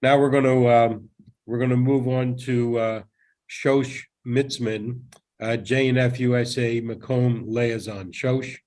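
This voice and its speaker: A man's voice: medium pitch, steady tone, a little mellow. Friendly man moderating a briefing. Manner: friendly, halting, with many fillers. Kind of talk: moderating a live online briefing.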